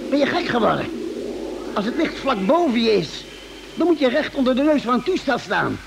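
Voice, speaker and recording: High-pitched, worried spaceship doctor. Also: male voice, big pitch swings, normal speed, vocal fry, full character voice. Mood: alarmed. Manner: earnest, urgent, hesitating at times. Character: worried spaceship doctor, a man, taking command. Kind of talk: old Dutch radio drama acting. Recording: noisy vintage radio recording.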